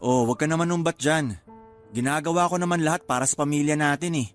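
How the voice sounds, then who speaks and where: A man's voice: fairly high pitch, fast talker, faint music behind, natural voice. A man playing an evasive, self-justifying husband in a radio drama, defensive and pleading.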